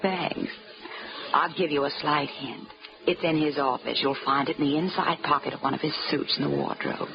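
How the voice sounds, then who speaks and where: Sultry, sly nightclub dame. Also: female voice, deep voice, fairly even intonation, normal speed, noisy background. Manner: sultry, teasing, knowing. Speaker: radio drama actress. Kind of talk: 1940s radio acting. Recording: muffled 1940s radio broadcast.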